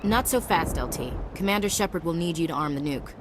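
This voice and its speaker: A woman's voice: expressive, brisk. An actress playing a tough, brash female soldier in a video game, blunt and sardonic. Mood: assertive. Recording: clear background rumble and noise.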